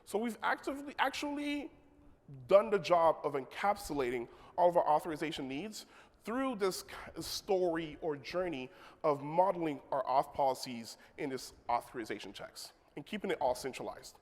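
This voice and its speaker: A man's voice: on the high side, expressive, moderate pace. A man giving a technical conference talk in a casual manner, with joking asides to the audience. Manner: leads the crowd in a call-and-response. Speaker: conference speaker. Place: large conference hall. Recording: long hall reverb.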